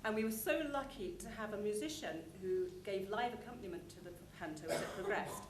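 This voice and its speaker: A woman's voice: medium pitch, lively intonation, a little fast. A woman giving an informal conference talk, chatty and anecdotal as she describes a show. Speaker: conference presenter. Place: conference hall. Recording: echoey room, clear background noise.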